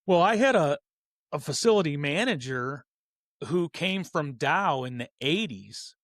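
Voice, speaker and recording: Higher-voiced man in his fifties, close dry sound. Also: expressive, unhurried, a bit bright, no echo. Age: 50s. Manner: earnest, rambling, self-correcting. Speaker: host of a hobby racing show. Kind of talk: casual opinionated talk about hobby racing.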